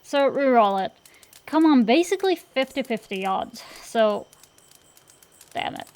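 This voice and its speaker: A woman plays a tsundere dragon girl sorceress in an intimate fantasy audio roleplay. Her voice sits a little high and she takes her time over her words. She blusters and teases like a tomboy gambler, easily flustered, with fondness underneath. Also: animated, a little slow, darkish tone. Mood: grumbling, bantering.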